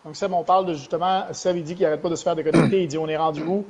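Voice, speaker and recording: A Québécois man with a notably high voice hosts a live stream. He explains money matters and talks straight to the viewers. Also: steady tone, rapid-fire, a little mellow. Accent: Québécois French. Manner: explanatory, addresses viewers directly, thanks them for likes. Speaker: host of a live stream show.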